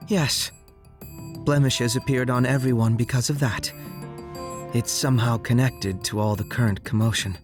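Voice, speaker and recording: An actor plays a young anime game ninja with a medium-pitched voice. The delivery is calm, methodical and explanatory, set over clearly audible music. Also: moderate pace, lightly acted. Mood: thoughtful. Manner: orderly, explanatory. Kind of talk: English anime game dub acting.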